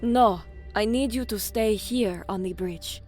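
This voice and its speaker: A woman plays a shrewd alien military commander with a medium-pitched voice. She speaks slowly and formally, in clipped, guarded phrases. It is science fiction audio drama, with music running behind her. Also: darkish tone, natural voice. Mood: guarded, suspicious. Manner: measured, terse, formal.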